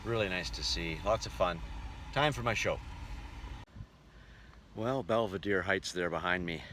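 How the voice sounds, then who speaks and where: A man's voice: low voice, unhurried, bright, forceful tone. A man narrating a casual vlog as a touring musician, with a folksy, wry way of talking. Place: outdoors and in a vehicle. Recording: noisy outdoor and vehicle ambience.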